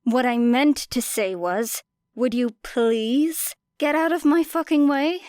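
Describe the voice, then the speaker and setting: A woman's voice: high voice, takes it slowly, a little mellow, clear, resonant tone. A woman voicing a wary, angry teenage schoolgirl with a curt, strained delivery in an audiobook. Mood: restrained anger. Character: angry, wary, foul-mouthed teenage schoolgirl prone to panic. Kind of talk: audiobook character dialogue.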